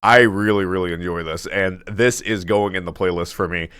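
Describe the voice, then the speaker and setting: A man with a deep baritone voice reacting casually to a song, laughing often and rambling with fillers and restarts. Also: steady tone, normal speed, a bit bright, clean tone, no echo. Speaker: music reaction host.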